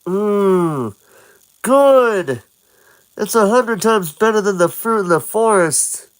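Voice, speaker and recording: A man voicing an excited, hungry young boy hero in a retold game story, with wide-eyed, eager exclamations. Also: on the high side, slow, full character voice. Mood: delighted.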